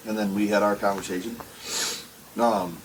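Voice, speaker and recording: A man's voice: lowish voice, steady tone, moderate pace, a little hiss or hum, rough recording. A man in his forties co-hosting a casual podcast, talking in a rambling way with many restarts. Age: 40s. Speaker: podcast co-host. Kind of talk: casual chat. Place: basement.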